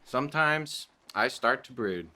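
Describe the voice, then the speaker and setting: A man's voice: mid-range voice, animated, measured pace, sharp tone. An actor playing a despondent, brooding 1930s policeman in a radio play, gloomy and self-pitying. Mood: bitter, despairing.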